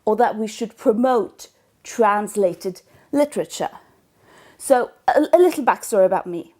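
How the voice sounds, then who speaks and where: Slow, thoughtful Norwegian-born woman blogger. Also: mid-range voice, lively intonation, mellow. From Norway. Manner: thoughtful, searching for words, hesitant. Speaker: book blogger. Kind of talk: casual vlog talk to camera.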